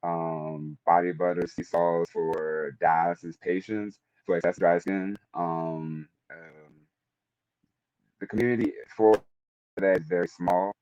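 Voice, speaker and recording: A man with a very low voice speaks haltingly as an informal panelist, often restarting and repeating words. The sound is dry and close, somewhat dull and lo-fi. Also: steady tone, a little mellow, clean tone, dry recording. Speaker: panelist, product manager.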